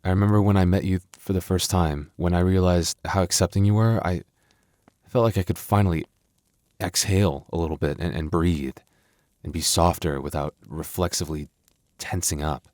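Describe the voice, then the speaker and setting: Guy doing a super low soft werewolf boyfriend for asmr, earnest n kinda stammery, really dry close sound. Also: fairly even intonation, normal speed, no room sound, close to an everyday voice. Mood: regretful, vulnerable. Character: gentle young male werewolf boyfriend with regretful past. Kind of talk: intimate ASMR boyfriend roleplay.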